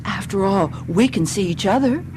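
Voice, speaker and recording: A woman's voice: medium pitch, lively intonation, normal speed, music in the background, natural voice, low-quality recording. An actress playing a frightened wife and mother in a radio drama, pleading and fearful. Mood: pleading, hopeful. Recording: vehicle noise behind the voice.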